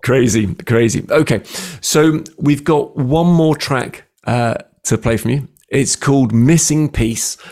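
A man's voice: mid-range voice, slow pace, a little mellow. A middle-aged man, hosting a radio interview in a chatty way, often restarting his words and laughing easily. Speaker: radio host. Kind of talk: casual interview chat.

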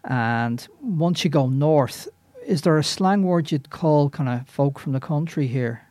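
A man's voice: fairly high pitch, measured pace, darkish tone, dry recording. A man with a Dublin Irish accent hosting an evening radio music show, chatting casually with fillers and restarts. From Ireland. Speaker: radio host.

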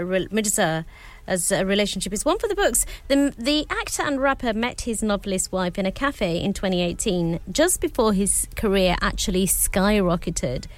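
A woman hosting a casual celebrity commentary, pausing often with filler sounds as she reads out facts. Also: mid-range voice. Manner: hesitant, many filler sounds. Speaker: host.

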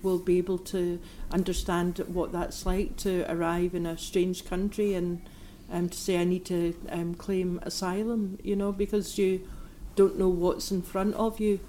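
Even-toned woman radio host. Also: lowish voice, a little slow, few pauses, a little hiss or hum, poor audio. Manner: empathetic, thoughtful phrasing. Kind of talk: radio interview.

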